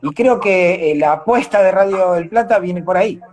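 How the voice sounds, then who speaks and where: An Argentine man with a fairly high voice talks in a live interview. He has a Rioplatense accent and an animated, conversational way of explaining things, as an actor would. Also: moderate pace. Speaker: radio drama actor being interviewed.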